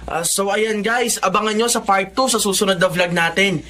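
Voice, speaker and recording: An actor playing an eager twenty-year-old Filipino son who draws and makes vlogs, in a radio drama. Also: male voice, high voice, normal speed, sharp tone. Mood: upbeat. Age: young adult. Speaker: lead actor and narrator.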